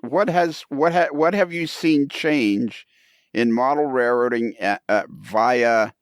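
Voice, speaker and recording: A man's voice: mid-range voice, takes it slowly, clean tone, no echo. Male podcast interviewer, conversational, reworks questions mid-sentence, dry close recording. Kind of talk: casual interview chat.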